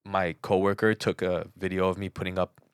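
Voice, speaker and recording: A man's voice: sits low, level tone, brisk, smooth, no room sound, studio quality. A man co-hosting a podcast in casual chat, telling personal stories emphatically and repeating words for stress. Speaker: podcast co-host.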